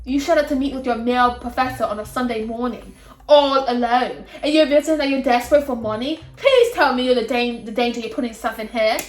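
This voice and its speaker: Woman reading as stern, measured male professor. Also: fairly high pitch, natural voice. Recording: roomy echo, music behind.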